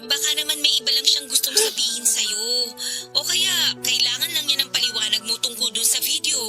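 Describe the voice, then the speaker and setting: A woman's voice: high-pitched, fairly quick, over music, close to an everyday voice. A woman playing a concerned, earnest schoolgirl best friend who urges and cares, in a radio drama. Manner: earnest, urging, caring.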